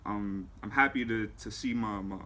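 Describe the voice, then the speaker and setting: A man's voice: lowish voice, normal speed, sharp tone. A man in his early twenties, a music manager, talking casually in an interview and leaning on fillers like "you know what I'm saying". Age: 20s. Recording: faint background noise.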